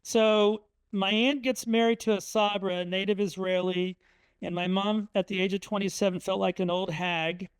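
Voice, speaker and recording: Middle-aged guy from indiana telling family stories at a talk, high smooth voice, dry close sound. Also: level tone, moderate pace, no room sound. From Indiana, USA. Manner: conversational, anecdotal, frequent um and uh. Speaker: author speaking at a museum talk. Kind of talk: storytelling presentation with slides.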